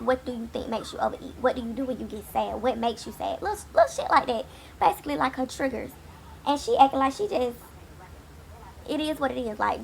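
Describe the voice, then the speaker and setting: A woman with a raspy voice from a cold, giving a sassy, blunt, swear-heavy casual recap of a TV episode late at night. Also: fairly high pitch, slight background noise. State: hoarse, getting over a cold. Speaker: TV recap host.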